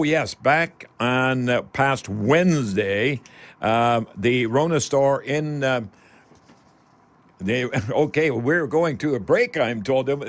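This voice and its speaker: A man's voice: a little high, fairly bright tone. A man hosting a radio call-in show in a folksy, rambling way, addressing listeners as friends. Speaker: host of a home improvement radio call-in show.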